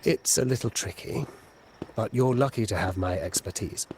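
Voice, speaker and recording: An actor playing a self-assured tech expert in a video game, explaining things in a matter-of-fact way. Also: male voice, fairly low pitch, normal speed, a little mellow, lightly acted. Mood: confident. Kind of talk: dramatic video game voice acting. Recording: noisy, night insect ambience behind.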